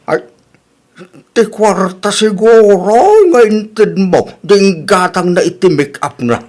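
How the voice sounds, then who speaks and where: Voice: mid-range voice, takes it slowly, darkish tone, natural voice. A performer with an Ilocano accent playing a grumbling, complaining old husband and father in a radio comedy drama, with exaggerated exclamations.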